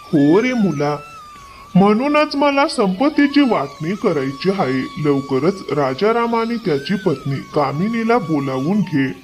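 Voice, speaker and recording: A man's voice: sits high, sing-song, measured pace, slight background noise. A man playing an earnest, caring old father near death in a cartoon moral tale. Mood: weak, earnest. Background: music.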